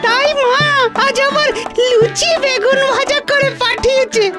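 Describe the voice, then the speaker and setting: A woman's voice: very high voice, steady tone, measured pace, over loud music. A woman doing a clever cartoon schoolboy. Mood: cheerful. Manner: bold, cheerful. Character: clever schoolboy hero, confident.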